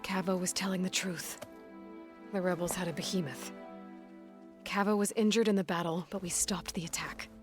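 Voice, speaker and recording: A woman's voice: fairly low pitch, steady tone, normal speed, over music, lightly acted. A woman playing a determined, matter-of-fact young heroine in a video game cutscene. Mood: calm, reassuring.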